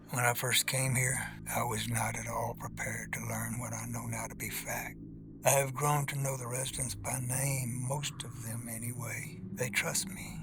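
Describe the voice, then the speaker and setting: A man voicing a weary old ghostly cemetery caretaker who talks in a hushed, slow, ominous way. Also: medium pitch, lightly acted. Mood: knowing. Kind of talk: audio drama acting.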